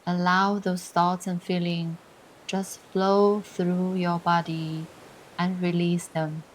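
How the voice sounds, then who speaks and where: A woman leading a scripted guided meditation in a soothing, deliberate way, pausing between short phrases. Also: on the low side, level tone, slight background noise, rough recording. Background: music.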